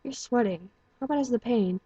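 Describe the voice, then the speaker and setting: A woman voicing a taciturn older male pony doctor who speaks in a measured, cool, stern way. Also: a little high, warm tone, husky, no room sound, muffled recording.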